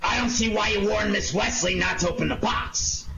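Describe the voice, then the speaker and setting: Radio-play police inspector, male actor: high-pitched, creaky, blustering and sarcastic. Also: moderate pace, dull audio, loud music behind the voice. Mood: suspicious, blustering. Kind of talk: 1940s radio detective drama acting.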